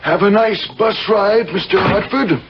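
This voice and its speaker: An actor playing a wronged husband, coldly polite and sardonic, in a 1970s radio drama. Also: male voice, sits high, over music. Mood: mock polite. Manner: formal, deliberate, sardonic. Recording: muffled old radio broadcast.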